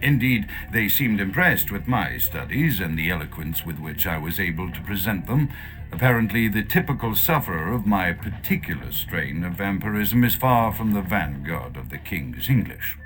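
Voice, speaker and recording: Pompous, low-voiced vampire scholar. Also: male voice, big pitch swings, normal speed, fairly bright tone, soft background music, low-quality recording. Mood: smug, self-satisfied. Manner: pompous, florid, disdainful, precise diction. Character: pompous erudite male vampire doctor, self-assured, paranoid-era Victorian diction. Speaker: audio diary narrator. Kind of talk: theatrical scripted monologue.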